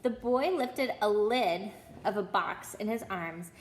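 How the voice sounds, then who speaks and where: A woman storyteller reading a children's book aloud in an expressive style. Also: medium pitch, slow.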